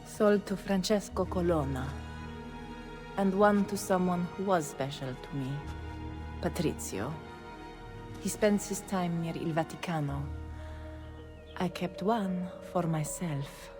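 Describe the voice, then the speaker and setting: A seductive, wounded Italian noblewoman in a game drama. Her female voice sits fairly low, accented and dramatic, with music clearly playing behind it. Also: takes it slowly, long pauses. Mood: wistful, guarded. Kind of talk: dramatic video game acting.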